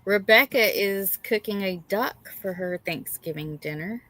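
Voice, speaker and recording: A woman's voice: medium pitch, measured pace, fairly bright tone, poor audio. A middle-aged woman co-hosting a livestream in casual, folksy chat, reading out and greeting viewers. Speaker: livestream co-host.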